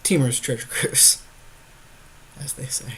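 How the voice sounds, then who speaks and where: Mid-pitched man in casual game chat. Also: slow, fairly bright tone. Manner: agreeable, conversational. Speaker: podcast co-host playing a card game. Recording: amateur capture with faint background noise.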